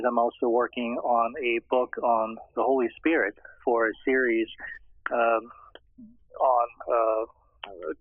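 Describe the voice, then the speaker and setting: A middle-aged man, answering interview questions in a hesitant way with many pauses and restarts. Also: medium pitch, dry recording. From Austria. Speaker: guest scholar. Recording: tinny phone line.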